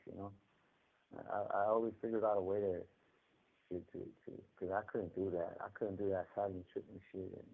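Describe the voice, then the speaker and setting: A man's voice: on the low side, vocal fry. Californian man on a tinny prison phone line. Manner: rambling, repeats words, street slang. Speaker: incarcerated caller. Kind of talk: casual storytelling over a prison phone call.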